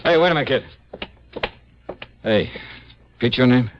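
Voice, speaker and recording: An actor playing a shrewd, persuasive investigator in a 1950s radio crime drama, talking in coaxing, insistent slang. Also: male voice, mid-range voice, expressive, unhurried, a little hiss or hum, natural voice. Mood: streetwise, coaxing. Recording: dull vintage radio recording, faint music.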